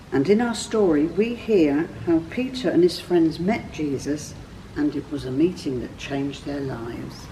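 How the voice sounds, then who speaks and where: Mellow woman storyteller, a little off-mic. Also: lowish voice, distant mic, a little hiss or hum, low-quality recording. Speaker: church storyteller. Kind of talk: scripted children's story narration.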